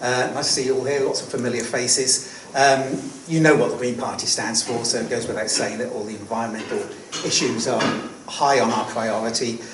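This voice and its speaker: A man's voice: medium pitch, fairly even intonation, a little fast. A man giving a short, friendly but hesitant hustings speech as a candidate. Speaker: election candidate. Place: public meeting hall. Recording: roomy hall, distant mic, noticeable noise.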